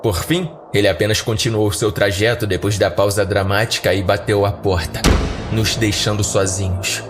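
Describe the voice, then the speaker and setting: A Brazilian man narrates a horror podcast story in the first person. His voice is on the low side and the reading is scripted, with music clearly playing behind it. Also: steady tone, moderate pace.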